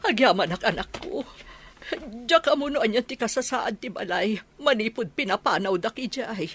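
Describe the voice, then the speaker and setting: A woman's voice: on the high side, lively intonation, normal speed, a bit bright, slight background noise. A woman with a Filipino Ilocano accent playing a wronged, emotional older mother in a radio drama. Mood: relieved. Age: middle-aged.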